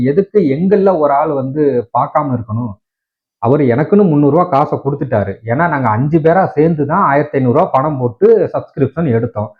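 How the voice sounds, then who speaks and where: A man's voice: on the high side, phone-quality sound. A man with a Tamil Nadu accent complaining to viewers in an indignant, argumentative way with many rhetorical questions. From Tamil Nadu, India. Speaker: tech video creator.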